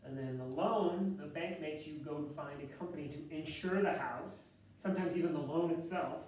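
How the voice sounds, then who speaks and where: A man teaching a math class with a loud voice, talking casually and thinking aloud with fillers and self-corrections. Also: on the high side, moderate pace, a little hiss or hum. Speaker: math instructor. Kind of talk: classroom lecture. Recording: echoey classroom, distant mic.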